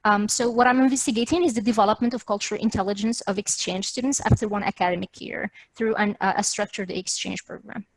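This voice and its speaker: A woman's voice: medium pitch, steady tone, fast, few pauses, dry recording, rough recording. A young adult woman from Morocco, presenting research in an explanatory way, hesitating and rewording as she goes. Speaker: doctoral student presenting research. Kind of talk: online academic slide presentation. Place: virtual meeting, video call.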